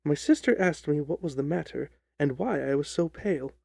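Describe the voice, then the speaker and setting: Mellow, gravelly male story narrator. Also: fairly high pitch, a little fast, no echo. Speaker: audiobook narrator.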